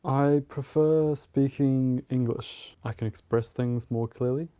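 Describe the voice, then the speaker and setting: Young New man, deep-toned monotone, plain documentary interviewee. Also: unhurried, smooth. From New Zealand.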